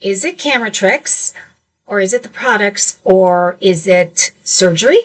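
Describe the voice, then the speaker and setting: A woman's voice: mid-range voice, lively intonation, unhurried, recorded from a distance, low-quality recording. Chatty upbeat woman in her fifties. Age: 50s. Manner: chatty, upbeat, addresses chat directly. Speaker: live shopping host. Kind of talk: casual live-stream chat to viewers. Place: home office with shelves.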